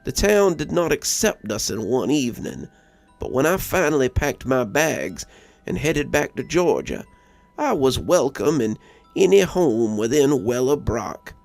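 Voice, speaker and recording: A man narrates a scary story with a very animated delivery and a gravelly edge to his voice. The recording is dry and close, with clear music playing underneath. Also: mid-range voice, unhurried, no echo. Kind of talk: dramatic scripted narration.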